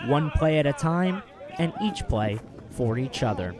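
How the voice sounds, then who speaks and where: High-pitched young male sportscaster. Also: expressive, a little slow, a crowd in the background. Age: early 20s. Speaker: sports play-by-play broadcaster and reporter. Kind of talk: hockey play-by-play commentary and scripted sports news narration.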